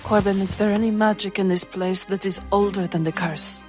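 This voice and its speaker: A woman playing a solemn, gentle, protective adventurer who speaks in a measured, earnest way. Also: mid-range voice, steady tone, soft background music, lightly acted. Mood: grave.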